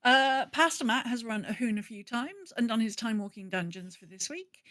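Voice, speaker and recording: A woman's voice: a little high, animated, moderate pace, fairly bright tone, dry recording. A woman co-hosting a podcast, casually chatting and reading out updates. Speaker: podcast co-host.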